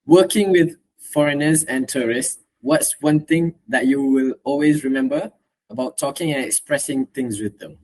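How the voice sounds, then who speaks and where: A man's voice: medium pitch, moderate pace, darkish tone, poor audio. A man asking interview questions in a casual way.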